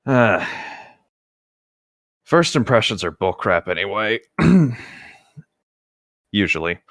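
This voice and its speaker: Low-voiced, easygoing chatty man. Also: sing-song, slow, creaky, a bit bright, dry recording, clean studio sound. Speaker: co-host of a humorous read-aloud commentary. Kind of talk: casual read-aloud with chatty asides.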